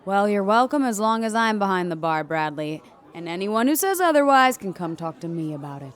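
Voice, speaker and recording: A woman's voice: medium pitch, big pitch swings, a little fast, some chatter behind, natural voice. An actress playing an easygoing, protective female starship bartender in a sci-fi radio drama. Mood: supportive, firm.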